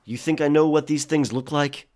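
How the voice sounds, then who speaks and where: A man's voice: on the high side, steady tone, normal speed, a little mellow, close to an everyday voice. A man playing a terse, watchful, sceptical pirate in an audio drama, with short questioning lines. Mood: dry, impatient. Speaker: voice actor in a full-cast audio drama.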